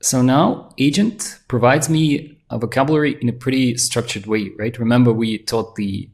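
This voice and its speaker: Gravelly-voiced man demoing software excitedly. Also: mid-range voice. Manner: excited, fast-talking demo with frequent restarts. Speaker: tutorial presenter. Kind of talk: screen-share software walkthrough.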